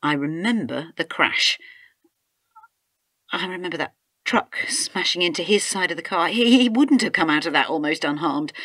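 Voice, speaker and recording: An actress playing a distrustful, fearful, distraught wife in a radio drama. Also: female voice, fairly low pitch, a bit bright, no room sound.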